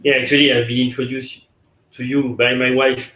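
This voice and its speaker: A man interviewing a guest, asking halting questions that are full of repeated words and "uh". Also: mid-range voice, level tone, fairly bright tone. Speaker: interviewer, host. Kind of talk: conversational interview. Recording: echoey room, dull sound, faint noise.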